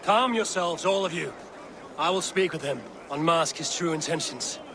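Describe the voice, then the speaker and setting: A man playing a resolute Viking warrior in a video game cutscene, speaking in a measured, commanding way. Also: on the high side, background noise, lightly acted. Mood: stern, commanding. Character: resolute Viking warrior, stern adult male peacemaker.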